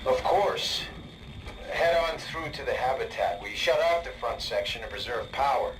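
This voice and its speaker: A man's voice: medium pitch, animated, normal speed, close to an everyday voice. A man playing a weary, stranded survivor of an undersea station in a video game. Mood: compliant. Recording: distant, clear background noise.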